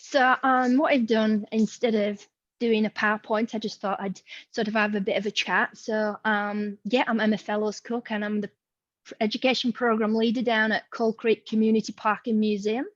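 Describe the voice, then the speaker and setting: A woman's voice: on the high side, flat delivery, moderate pace, no echo. A woman in her thirties with a Yorkshire accent, a museum education leader talking informally and chattily to colleagues. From Yorkshire, England. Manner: friendly and chatty, with ums. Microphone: video call. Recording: dry, muffled video-call audio.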